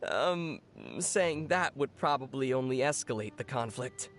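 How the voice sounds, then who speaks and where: An actor playing a calm, knowledgeable young traveler in an anime video game, measured and matter-of-fact with gentle teasing. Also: medium pitch, soft background music. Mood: calm, wry.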